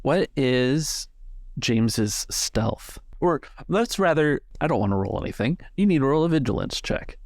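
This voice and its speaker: A man's voice: mid-range voice, animated, unhurried, darkish tone, no echo, studio quality. A man narrating a tabletop roleplaying game as game master.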